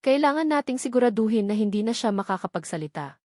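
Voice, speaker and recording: A woman's voice: a little high, lively intonation, fast, a little mellow, no echo, clean studio sound. A woman voicing a scheming villainous man in a story, reassuring yet desperate. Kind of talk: dramatic storybook dialogue.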